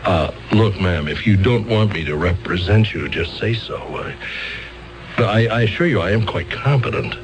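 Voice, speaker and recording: An actor playing a fumbling, wordy court-appointed lawyer who hesitates, stammers and clears his throat, in a 1970s radio drama. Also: lowish voice, moderate pace, music in the background, lightly acted, low-quality recording.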